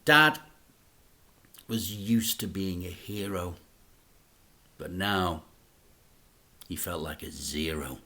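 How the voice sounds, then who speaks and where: A man, a teacher, reading a children's book aloud in an expressive, dramatic storytelling manner. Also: sits low, slow, long pauses.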